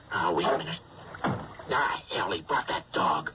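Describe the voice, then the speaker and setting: An actor playing a resentful, sardonic fishing boat hand in a radio drama. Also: male voice, deep voice, very animated, measured pace. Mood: sarcastic. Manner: grumbling, sardonic, colloquial. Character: bitter, resentful sailor, second man on a fishing boat. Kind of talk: first-person radio drama monologue and dialogue. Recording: dull radio recording, loud background noise.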